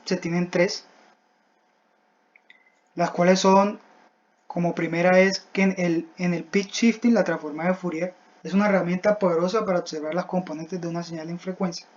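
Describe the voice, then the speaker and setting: Young adult student, medium pitch, scripted technical presentation, halting step-by-step delivery, dull lo-fi recording. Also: darkish tone. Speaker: student presenting a class project.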